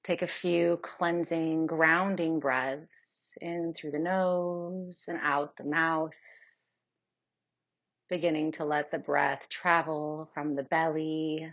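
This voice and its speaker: A woman leading a guided yoga nidra meditation in a soothing, unhurried manner with long pauses. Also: low-pitched, steady tone, highs cut off.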